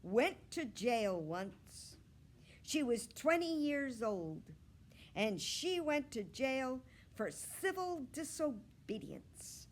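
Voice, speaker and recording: Lady doing a proud little second grader from a kids book, loud n higher pitched, declaims everything, bit of noise. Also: big pitch swings, drawn-out words, full character voice. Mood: self-important. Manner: loud, declaiming short answers.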